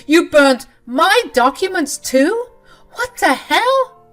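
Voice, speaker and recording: A woman voicing a furious husband caught cheating, shouting indignantly. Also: extremely high pitch, very animated, takes it slowly, soft background music, pitched above the performer's own voice, full character voice.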